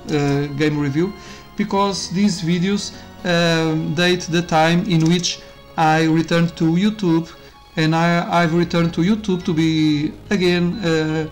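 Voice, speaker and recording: Casting note: man, chatty game commentary, loose and rambling, with faint game music underneath. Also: on the high side. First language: Portuguese. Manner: rambling, self-interrupting, with frequent fillers and laughs. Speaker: YouTuber reviewing a game. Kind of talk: casual gameplay commentary.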